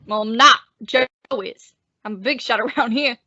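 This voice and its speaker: Woman playing a bright, cocky barroom tough guy. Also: a little high, normal speed, muffled recording. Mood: mocking. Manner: sarcastic, needling, repetitive. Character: cocky, belligerent male barroom tough guy, sneering henchman. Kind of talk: old-time radio detective drama acting.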